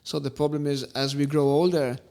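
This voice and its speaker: A man talks in a fairly high voice for a male. His delivery is casual and conversational, emphatic, with phrases repeated for stress. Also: little pitch movement, a little fast, vocal fry, darkish tone. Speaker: podcast guest, photojournalist.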